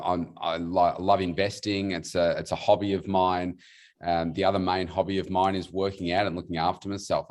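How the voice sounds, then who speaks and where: A man's voice: low voice, a little mellow. A man in his forties with an Australian accent, introducing himself enthusiastically on a video call, with many fillers. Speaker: weight loss coach, guest.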